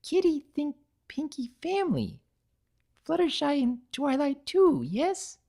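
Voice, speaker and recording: A woman voicing a small, childlike female cat cookie come to life, simple and sweet, in halting baby talk. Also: high voice, wildly swooping pitch, gravelly, warm tone, voice pushed up, heavily acted. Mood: forgiving, hopeful.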